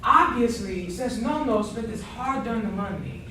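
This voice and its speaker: A lively, fairly high voice rings through a very echoey, noisy space. A woman plays a pompous male don in a stage comedy, spinning family legend with grandiose, insulting emphasis. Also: unhurried. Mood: pompous, scornful. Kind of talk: amateur stage comedy acting. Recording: very echoey stage, distant mic, clear noise.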